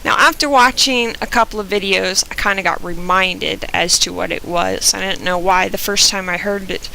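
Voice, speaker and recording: A young woman from Georgia with a softened Southern accent, chatting casually through an accent tag video with frequent "um" fillers. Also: medium pitch, moderate pace, vocal fry, a little hiss or hum, rough recording. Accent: Southern US, softened by years in Oregon. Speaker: vlogger.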